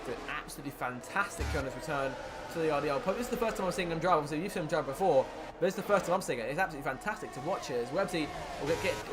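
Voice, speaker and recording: Male sim racing play-by-play caller, quick and raised in pitch, getting hoarse, noisy recording. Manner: excited play-by-play, rising with the action. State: losing his voice. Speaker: lead commentator.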